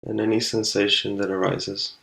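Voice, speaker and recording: Low, gravelly man guiding meditation. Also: low-quality recording. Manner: calm, unhurried instruction with long pauses. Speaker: meditation guide. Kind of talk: guided meditation.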